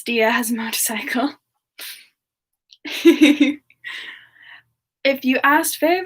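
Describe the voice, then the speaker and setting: A woman playing a sweet, chatty young female demon, talking gently and hesitantly in a casual semi-ASMR live chat. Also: on the high side. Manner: gentle, hesitant, pausing often mid-sentence. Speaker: host of a live Q&A stream.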